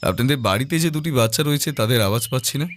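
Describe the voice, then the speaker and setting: A man playing a polite, persistent private detective in an audio drama, questioning directly and calmly. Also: mid-range voice, very fast, slight background noise, natural voice. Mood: calm, probing.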